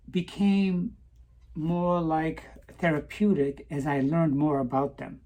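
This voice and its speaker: A middle-aged woman telling her own life story in a slow, hesitant way, often pausing with "um" and restarting her words. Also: low voice, darkish tone, husky. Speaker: vlogger. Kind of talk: personal confessional monologue.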